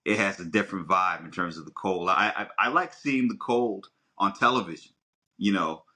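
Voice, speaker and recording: Deep, bright-voiced American man. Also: normal speed. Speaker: podcast host. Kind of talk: casual podcast chat.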